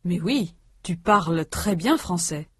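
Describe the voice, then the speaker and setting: A woman reading a language course aloud, with slow, careful and precise enunciation. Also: on the low side, lively intonation, slightly rough, no echo, clean studio sound. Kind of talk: scripted instructional reading.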